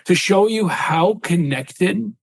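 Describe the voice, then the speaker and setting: A man's voice: high voice, slow pace, gravelly, no echo. Passionate man in his thirties, dry close mic. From Syria. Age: 30s. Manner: earnest, passionate, with frequent "right?" asides. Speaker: guest. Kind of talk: personal storytelling in an interview.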